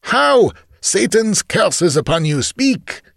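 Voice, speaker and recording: A man's voice: medium pitch, sing-song, a little slow, no echo, clean studio sound, voice pushed up. A man voicing a ruthless, cunning male bandit chief who snarls commands. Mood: raging.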